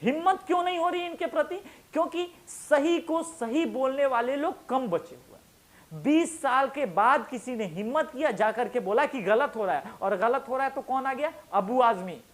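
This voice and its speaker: Male political commentator, very high register, highly animated delivery, combative and colloquial, built on emphatic rhetorical questions. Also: moderate pace. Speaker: show host, political commentator. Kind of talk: impassioned opinion monologue.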